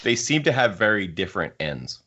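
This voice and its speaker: A low-pitched male co-host works through his points in a casual group discussion. He is analytical and discursive, explaining at length. The audio is dull and a little muffled. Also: sing-song, fairly quick, bright, forceful tone. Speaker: podcast co-host.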